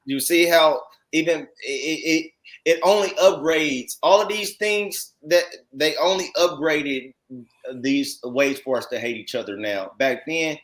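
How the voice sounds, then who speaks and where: Male American religious teacher, voice a bit high, exhorting informally, emphatic and repetitive. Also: measured pace, fairly bright tone, low-quality recording. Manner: emphatic, repetitive, with rhetorical tag questions. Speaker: congregation teacher commenting on read text.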